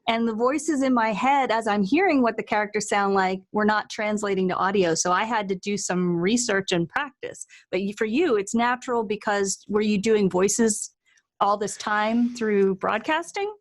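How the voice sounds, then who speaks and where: A woman's voice: medium pitch, moderate pace. A woman hosting a casual interview, engaged and conversational as she asks questions and shares her own experience. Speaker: interview host.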